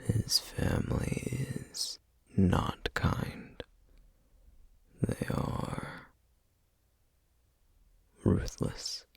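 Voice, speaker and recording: A man playing a solemn, guarded, protective flame demon in a whispered ASMR roleplay, speaking formally and hesitantly with a breathy, gravelly voice. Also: extremely low pitch, dry recording, heavily acted. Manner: slow, measured, formal, hesitant.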